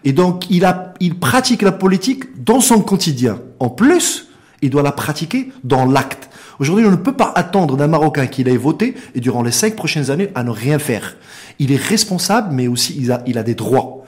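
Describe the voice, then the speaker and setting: Interview guest: middle-aged man, Moroccan accent, fairly high register, very lively intonation, emphatic and impassioned delivery. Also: moderate pace. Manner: emphatic, repeats words for stress, rhetorical questions. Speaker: interview guest, founder and director of an organisation.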